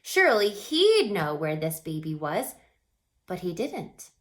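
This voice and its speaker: A woman reading a children's story aloud with dramatic expression, stretching words and dropping into hushed passages. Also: lowish voice, very animated, a little slow. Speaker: storybook reader.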